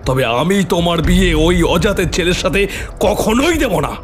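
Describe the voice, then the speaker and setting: উদ্ধত, কঠোর বয়স্ক কর্তা. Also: male voice, high voice, expressive, moderate pace. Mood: scornful. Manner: haughty, scornful. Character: stern older man, patriarch forbidding marriage. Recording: music behind voice.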